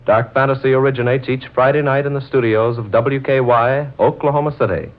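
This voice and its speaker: A man's voice: medium pitch, steady tone, fairly quick. A man with an American accent announcing from a script in 1940s radio style. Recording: muffled 1940s radio broadcast.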